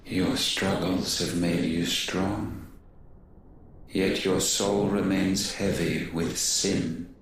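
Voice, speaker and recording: A godlike game villain, speaks gravely and imperiously. The voice is very deep and extremely slow. It rings with heavy echo over loud music. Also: male voice, little pitch movement, distant mic, a little hiss or hum, exaggerated voice. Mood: solemn, imperious. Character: haughty godlike villain, solemn male deity demanding penance. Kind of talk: dramatic video game voice acting.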